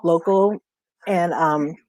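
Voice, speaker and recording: A woman's voice: fairly low pitch, fairly even intonation, slow, a little mellow, no room sound. A woman co-hosting an unscripted music chat in an informal, chatty and affirming way. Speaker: co-host.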